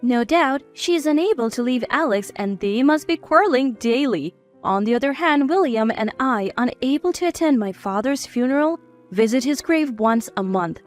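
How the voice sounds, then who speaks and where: A woman narrates a family drama as a scripted first-person story. Her voice is notably high-pitched, and faint music plays behind her. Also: animated, normal speed.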